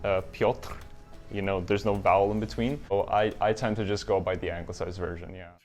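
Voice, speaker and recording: Casual low-voiced young man. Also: fairly even intonation, brisk, darkish tone, faint music behind. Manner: casual, fillers like "you know". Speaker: student interviewee.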